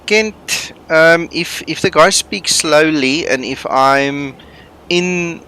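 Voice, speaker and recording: Slow, bright-voiced man. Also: on the high side, clean tone, rough recording. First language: Afrikaans. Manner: relaxed, thinking aloud. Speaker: flight sim streamer. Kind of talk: casual chat with live viewers.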